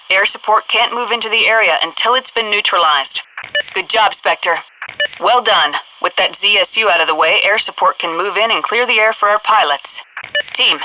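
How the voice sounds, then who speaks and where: An actress playing a composed, commanding headquarters officer who gives crisp, businesslike mission orders over military radio in a video game. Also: female voice, medium pitch, background noise. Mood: approving.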